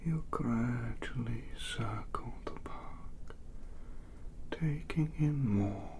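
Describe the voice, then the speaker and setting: A man softly whispering a hypnotic ASMR sleep story in a breathy, soothing, drifting way with long pauses. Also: medium pitch, a little hiss or hum.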